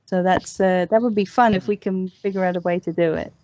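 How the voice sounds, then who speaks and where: A woman's voice: fairly low pitch, steady tone, a little fast, a little mellow, dry recording. A woman speaking as an enthusiastic guest in a casual online panel discussion. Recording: dull, lo-fi online voice call.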